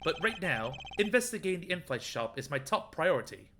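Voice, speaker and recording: Man voicing a stiff, formal prosecutor, expressive pitch. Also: brisk, background noise. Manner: stiff, precise, pompous. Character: composed, formal, exasperated male prosecutor. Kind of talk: amateur video game dub.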